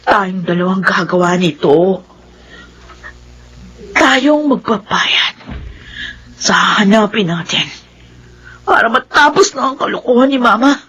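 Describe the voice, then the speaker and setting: An actress playing a scheming, hot-headed young Filipino older sister in a radio drama, insistent and commanding. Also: female voice, medium pitch, expressive, a little slow, long pauses, fairly bright tone, husky. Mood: secretive, plotting.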